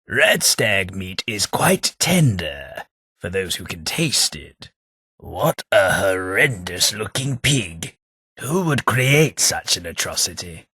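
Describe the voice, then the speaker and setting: A man's voice: fairly low pitch, sharp tone, breathy edge, dry recording, exaggerated voice. A man voicing a snobbish, sardonic male ghoul aristocrat with an English accent, delivering game companion lines in a prim, dry upper-class drawl. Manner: prim, snobbish, wryly sarcastic.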